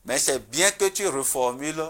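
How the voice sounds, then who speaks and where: A man speaking as a traditional spiritual guest on a TV talk show, earnest and emphatic, with pauses and restarts. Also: a little high, lively intonation, a crowd in the background.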